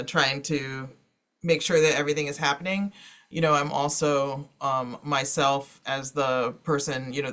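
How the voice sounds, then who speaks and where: Guy with a slightly high voice talking on a library panel, kinda hesitant, wanders along. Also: fairly bright tone. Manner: hesitant, meandering, frequent "you know". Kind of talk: informal panel discussion.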